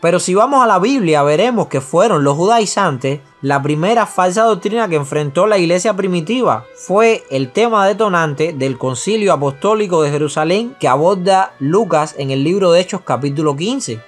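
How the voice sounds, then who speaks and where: A man with a fairly high voice co-hosts a religious podcast and lays out doctrine earnestly. His delivery is steady and explanatory, and he quickens when he adds an aside. Soft music sits faintly under the talk. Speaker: podcast co-host.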